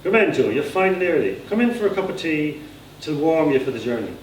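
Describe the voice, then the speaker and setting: A man's voice: fairly high pitch, sing-song, fairly quick, voice pushed up. A man with an Irish accent voicing a welcoming, insistent country father in a story, coaxing and folksy. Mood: hearty, insistent. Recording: echoey room, distant mic, faint noise.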